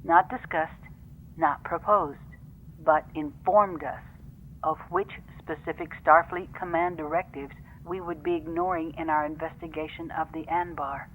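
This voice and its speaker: A woman playing a serious female first officer who dictates formal, measured log entries in a fan audio drama. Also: sits low, fairly even intonation, fairly bright tone, a little hiss or hum, low-quality recording.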